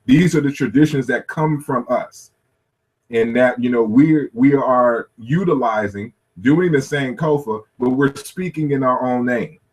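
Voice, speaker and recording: A man's voice: medium pitch, a little slow, low-quality recording. A man lecturing through slides at a workshop, thinking aloud with many "you know" fillers and restarts. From United States.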